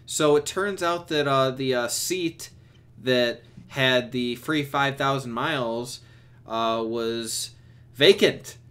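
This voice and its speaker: A young man chatting and telling stories casually on a voice chat, in an animated, exasperated, sweary way. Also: medium pitch, slow, clear, resonant tone. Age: young adult. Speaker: streamer on a group voice chat. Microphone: computer mic on a voice chat. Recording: noisy voice chat with clicks.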